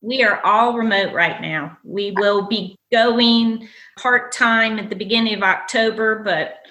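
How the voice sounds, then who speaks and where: Bright, upbeat woman school librarian. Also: mid-range voice, measured pace, low-quality recording. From North Carolina, US. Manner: upbeat, enthusiastic. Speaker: school library media specialist. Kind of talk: interview answers, casual.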